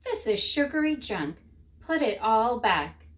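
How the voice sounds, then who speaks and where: A woman's voice: fairly high pitch, very animated, measured pace. A woman voicing an exasperated, strict but loving father in a children's story, with emphatic, scolding delivery.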